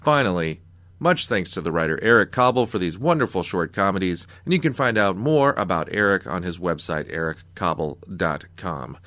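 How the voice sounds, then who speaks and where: Casting: American man, low voice, dry close sound, radio announcer reading scripted credits and station information. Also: expressive, moderate pace, fairly bright tone, no echo.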